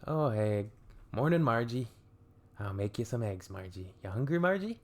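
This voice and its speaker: A man's voice: mid-range voice, animated, normal speed, natural voice. A man doing a folksy small-town Minnesota man, making breakfast.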